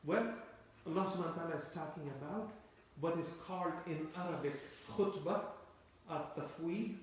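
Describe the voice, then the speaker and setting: Echoey, distant lecturing man with a high voice. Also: moderate pace, slight background noise. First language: Turkish. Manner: explanatory, repeats phrases, asks listeners rhetorical questions. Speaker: Quran teacher. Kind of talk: tafsir lecture. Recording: very echoey room, voice from far off.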